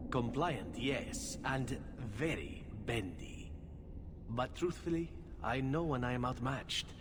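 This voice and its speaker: A man's voice: mid-range voice, animated, slow. A man playing a suave, flirtatious elf assassin in a video game, with a playful, theatrical delivery. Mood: teasing, flirtatious. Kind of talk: video game character acting. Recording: noisy ambience, long reverb.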